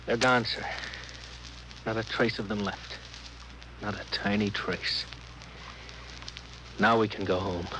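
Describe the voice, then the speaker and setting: An actor playing an earnest, respectful and slightly hesitant spaceship officer in a 1950s radio drama. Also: male voice, lowish voice, steady tone, slow, long pauses. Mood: subdued. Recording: noisy vintage 1950s radio broadcast.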